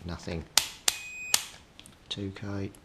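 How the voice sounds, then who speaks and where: A man's voice: deep voice, little pitch movement, drawn-out words, heavy background noise. A British man talking through hands-on electronics repair as a hobbyist host, practical and explaining things step by step. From Britain. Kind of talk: hands-on repair tutorial, talking while working.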